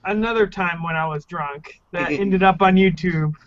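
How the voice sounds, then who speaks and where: A man in his twenties chatting in a group video call with slow, joking banter. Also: high-pitched, dull audio, a little hiss or hum. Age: 20s. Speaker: former reality show contestant. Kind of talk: casual group video chat.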